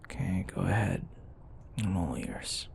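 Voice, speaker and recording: Casting a man to act: grumpy but caring male office rival for ASMR drama, gravelly, blunt, conversational; slightly noisy recording. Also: low voice, animated, measured pace, a little mellow, full character voice. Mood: soft, concerned. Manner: exasperated, blunt, conversational, sighing. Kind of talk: intimate ASMR boyfriend roleplay acting.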